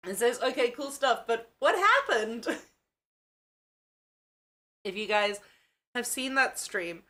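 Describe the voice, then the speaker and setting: A woman's voice: a little high, sing-song, takes it slowly, pushed and bright. A young woman from South Africa with a mixed South African accent, chatting casually and giggling with viewers as a tired livestream host. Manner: giggly, chatty, swears freely. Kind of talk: casual live chat with viewers.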